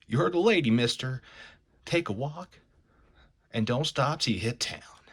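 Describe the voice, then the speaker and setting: A man's voice: medium pitch, lively intonation, unhurried, husky. A man voicing a hot-headed young carnival tough who blusters and threatens in slang. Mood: threatening.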